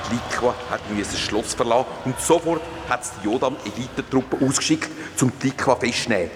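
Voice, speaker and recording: A man's voice: mid-range voice, quick pace, slight background noise, natural voice. A man playing a fantasy-world ruler in a Swiss German children's audio drama, telling the tale in an emphatic, theatrical way. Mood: dramatic recounting. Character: grateful fantasy-world ruler freed from a spell, recounting dramatically. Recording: long reverb, music behind.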